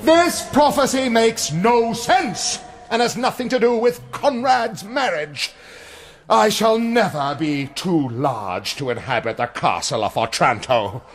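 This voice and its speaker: Imperious high-pitched British prince. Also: male voice, expressive, takes it slowly, soft background music, exaggerated voice. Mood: commanding, dismissive. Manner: commanding, irritable. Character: imperious, impatient prince, father of a teenage son. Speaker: actor in a radio drama. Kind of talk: radio drama acting.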